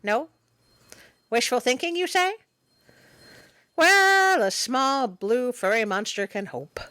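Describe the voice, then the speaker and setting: A woman's voice: high voice, takes it slowly, dry recording, clean studio sound, full character voice. A woman voicing a small furry monster who reads storybook tales expressively, with sardonic, easily sidetracked comic asides. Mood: wry. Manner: expressive, wry, interrupts the reading with mock indignation. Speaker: storyteller and host. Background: music behind the voice.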